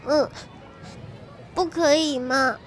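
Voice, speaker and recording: A woman's voice: high-pitched, steady tone, slow, slight background noise. A woman playing a sweet, clingy little girl in an audio drama, with a childlike voice and pleading, simple phrasing. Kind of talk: Chinese audio drama acting.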